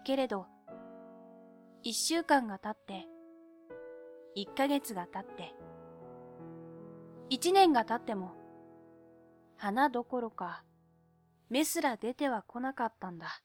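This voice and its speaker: A woman's voice: on the high side, expressive, faint music behind. A woman voicing an earnest, determined boy who narrates his own tale wistfully in an audio drama. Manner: boyish, wistful, measured. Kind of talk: scripted first-person drama narration.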